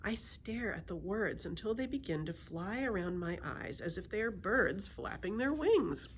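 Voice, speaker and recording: A woman's voice: medium pitch, big pitch swings, normal speed, slight background noise. A woman reading a children's book aloud as a storyteller, expressively acting out the dialogue.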